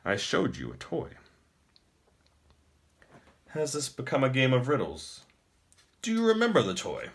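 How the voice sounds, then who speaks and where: A man voicing a gloating, smooth-talking wizard emperor in a storybook, theatrical, grandly formal and mockingly courteous. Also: mid-range voice, wildly swooping pitch, measured pace, long pauses, voice pushed up. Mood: amused. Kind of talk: audiobook character acting.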